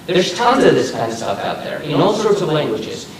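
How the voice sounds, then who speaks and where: Echoey man, slightly high voice, informal. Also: big pitch swings, normal speed. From Argentina. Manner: informal, checks in with "right?". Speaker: comics marketer and panelist. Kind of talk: casual unscripted panel talk. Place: echoey room, recorded at a distance. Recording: very echoey room, distant mic, faint noise.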